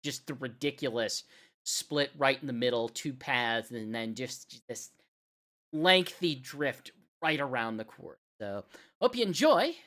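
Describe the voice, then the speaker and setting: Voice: medium pitch, expressive, a little slow, sharp tone. A radio host chatting casually and enthusiastically between music requests on a live show, with informal, emphatic delivery.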